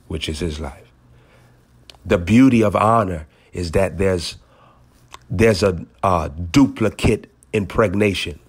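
A male preacher with a very low, husky voice delivers prophetic teaching. He speaks deliberately in short maxims. Key phrases come back a second time for emphasis. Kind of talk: prophetic teaching over a phone call.

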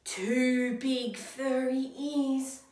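A woman's voice: high-pitched, fairly even intonation, extremely slow, darkish tone. A young woman reading a picture book aloud to children in a theatrical, exaggerated way. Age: young adult. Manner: theatrical, exaggerated expression with dramatic gasps. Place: small indoor classroom. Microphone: camera a short distance away. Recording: echoey room, slightly distant microphone.

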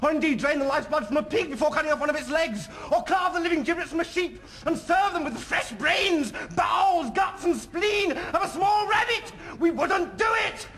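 High-pitched, smug English head waiter. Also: male voice, lively intonation, moderate pace, hardly any pauses, fairly bright tone, shouting. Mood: fervent. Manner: polite, building into fervent rants. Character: smug, over-excitable male head waiter. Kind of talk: television sketch comedy acting.

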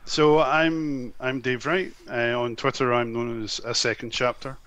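A man's voice: mid-range voice, steady tone, unhurried, a bit bright. A man with a slight Glasgow accent from Scotland, a convention organiser chatting at length in an interview, with lots of ums. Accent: Scottish, slight Glasgow. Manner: talks at length with frequent ums and restarts. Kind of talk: casual podcast interview.

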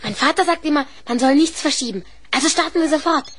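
Schoolboy inventor: high young voice, keen, practical, helpful, children's audio drama. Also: female voice, quick pace, a bit bright, slight background noise. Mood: eager, practical. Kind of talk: 1970s German children's radio play acting.

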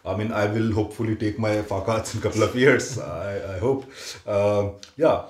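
Relaxed man hosting in an echoey room. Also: lowish voice, normal speed. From India. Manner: relaxed, explanatory, with frequent filler sounds and restarts. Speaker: interviewer and channel host. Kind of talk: casual conversational interview. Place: living room, seated on a sofa indoors.

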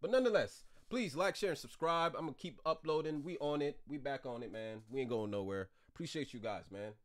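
A young man hosting a casual reaction video from a room at home, talking in an animated, slangy way. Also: mid-range voice, fairly quick. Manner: animated, slangy, laughs along. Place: home room with gaming chair.

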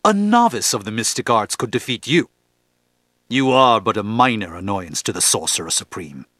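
An actor playing a proud, middle-aged sorcerer superhero in a video game, with pompous, formal, declamatory delivery and a gravelly voice. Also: male voice, lowish voice, expressive, normal speed, a bit bright, dry recording, studio quality. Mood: haughty, dry. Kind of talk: theatrical video game voice lines.

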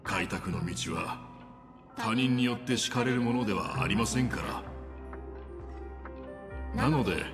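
A gentle old wanderer from a game, with a low voice and polite, reflective phrasing. The voice rings with long reverb, and music plays clearly behind it. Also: male voice, animated. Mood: solemn, gentle. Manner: polite, measured, reflective speech. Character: gentle, polite old male trailblazer looking back on life. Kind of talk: Japanese game voice acting.

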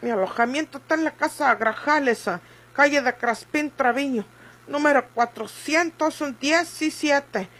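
A woman's voice: high-pitched, a little slow, fairly bright tone. An actress playing a frightened, injured country woman in a Mexican radio soap, plaintive and rustic in speech, with a Mexican accent. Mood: pleading, earnest. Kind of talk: Mexican golden-age radio soap acting.

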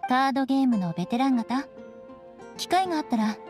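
A woman's voice: high-pitched, animated, a little fast, a little mellow, faint music behind. A woman voicing a poised, graceful young noblewoman knight in a Japanese anime game. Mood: poised, friendly.

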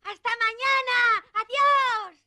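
A woman's voice: very high voice, steady tone, slow pace, bright, forceful tone, full character voice. An actress playing a friendly, curious young goatherd boy in a dubbed children's cartoon, eager and artless. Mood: bashful.

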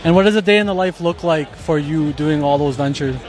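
A man's voice: fairly high pitch, fairly quick, darkish tone, low-quality recording. A young man, asking short casual questions as a street interviewer outdoors. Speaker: interviewer, host. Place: outdoor patio at an event. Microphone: handheld interview mic.

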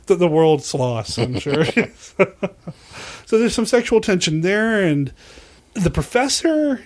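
Higher-voiced man chatting about movies. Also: very animated, slow. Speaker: podcast host. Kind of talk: casual movie discussion.

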